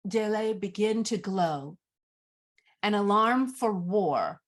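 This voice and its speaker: A woman reading screenplay directions as scripted narration in a measured, descriptive manner. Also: medium pitch.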